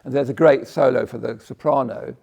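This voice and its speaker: A man with a croaky voice giving a conversational Buddhist talk, often checking in with "yeah?" and breaking off his sentences. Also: mid-range voice, steady tone, fairly quick, darkish tone, lo-fi sound. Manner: conversational, tag questions, self-interrupting. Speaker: Buddhist teacher. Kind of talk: dharma talk. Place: meditation hall.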